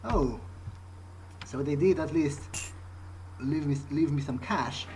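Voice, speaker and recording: A man's voice: fairly high pitch, darkish tone, a little hiss or hum, low-quality recording. A man playing a newly turned vampire, thinking aloud in a hesitant, pragmatic, wary way as he role-plays a video game. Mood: curious musing. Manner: hesitant, halting musing. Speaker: gamer role-playing his character. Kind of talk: in-character gameplay thinking aloud.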